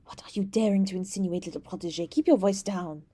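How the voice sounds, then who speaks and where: A woman's voice: medium pitch, quick pace, dark tone, slightly rough, natural voice. A woman playing a teasing young princess. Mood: worried, hushed. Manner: teasing, scatters French words. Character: teasing young princess, playful, anxious beneath. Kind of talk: ASMR roleplay acting.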